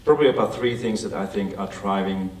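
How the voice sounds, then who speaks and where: A man's voice: lowish voice, brisk. A man from Finland with a Finnish accent, speaking English as a guest speaker who gives a conversational talk and asks the audience questions. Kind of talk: lecture presentation to an audience. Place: lecture hall. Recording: echoey hall, recorded from a distance.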